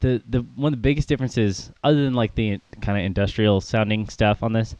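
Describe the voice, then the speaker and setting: A man's voice: lowish voice, fairly quick, darkish tone, dry recording. A man co-hosting a casual podcast about music, rambling with plenty of fillers and little laughs. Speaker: podcast co-host.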